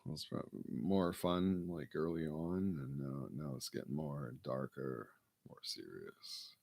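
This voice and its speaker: Gravelly low-voiced man rambling slowly. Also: darkish tone, no room sound. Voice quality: gravelly baritone. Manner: meandering, self-deprecating, mumbled asides. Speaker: solo broadcaster. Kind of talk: rambling late-night monologue.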